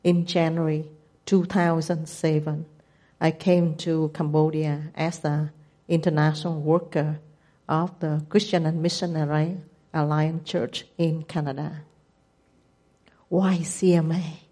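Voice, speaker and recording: A woman in her sixties with a Vietnamese accent, a missionary giving a testimony presentation with careful, measured non-native phrasing. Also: sits low, level tone, mellow. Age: 60s.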